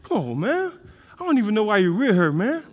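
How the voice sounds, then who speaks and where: A man playing an animated, bossy buddy in a stage comedy, emphatic and exaggerated, heard in a reverberant theatre. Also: sits high, a little fast, full character voice. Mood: exasperated coaching. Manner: exaggerated, emphatic, mock-pleading. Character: animated, bossy male buddy coaching his friend in romance. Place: indoor theatre stage, far camera.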